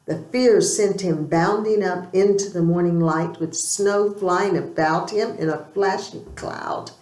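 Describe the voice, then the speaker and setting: Low-voiced woman reading a story, roomy echo. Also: measured pace, darkish tone. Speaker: audiobook reader. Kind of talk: scripted storybook narration, read aloud. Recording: roomy, echoing amateur recording.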